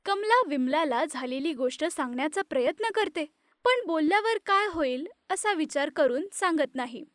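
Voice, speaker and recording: A woman narrating an animated moral story in a steady, plain storytelling manner. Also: high-pitched, normal speed, dry recording, studio quality.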